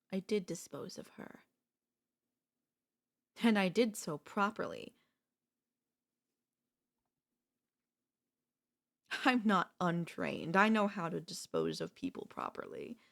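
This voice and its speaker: A woman's voice: medium pitch, vocal fry. A woman playing an obsessive, lovestruck and gleefully sinister older sister in an ASMR audio roleplay. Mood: cold, calm menace.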